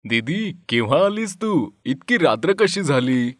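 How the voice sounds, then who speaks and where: A fairly high-pitched village father, acted by a man in an animated tale. He talks familiarly and with exasperation, in a dry recording. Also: measured pace, no echo. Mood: surprised, welcoming. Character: exasperated farmer father, talking to his elder sister. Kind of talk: animated moral story voice acting.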